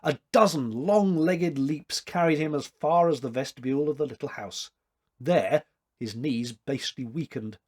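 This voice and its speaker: A man in his fifties reading a literary story aloud with expressive narration. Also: on the high side, moderate pace, husky. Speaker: story reader. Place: small domestic room indoors.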